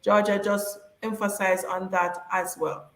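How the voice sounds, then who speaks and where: A woman's voice: medium pitch, level tone, measured pace, a bit bright, reverberant, a little hiss or hum, low-quality recording. A woman co-hosting a live stream, reading out viewers' questions and encouraging them to like and subscribe. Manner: reads out viewer comments and urges viewers to subscribe.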